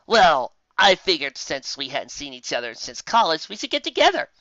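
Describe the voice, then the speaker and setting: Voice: mid-range voice, big pitch swings, normal speed, sharp tone, no echo, dull audio. A reader voicing a cocky, sardonic adventurer mare in a casual way for an audio story. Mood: smirking. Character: cool cocky adventurer mare, bored and smirking.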